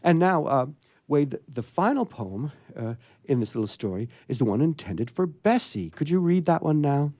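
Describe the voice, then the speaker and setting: Playful, animated man hosting, mellow voice. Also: on the high side, moderate pace, no room sound. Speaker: host of a radio theatre show. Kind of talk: live chatty hosting with readings aloud.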